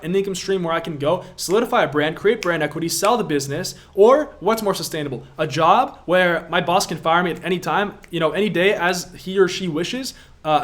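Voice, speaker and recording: A young man from Latvia hosts a live question-and-answer stream as a business coach. His voice sits a touch high for a man. He talks in an emphatic, pushy way and hammers points home by repeating them. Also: fairly bright tone.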